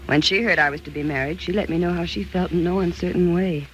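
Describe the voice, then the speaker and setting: A woman's voice: lowish voice, steady tone, fast talker, close to an everyday voice. Actress as a haunted, frightened young American woman. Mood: wistful, reflective. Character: frightened, haunted 28-year-old woman recalling her marriage. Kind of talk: 1940s radio thriller acting. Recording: noisy vintage 1940s radio recording.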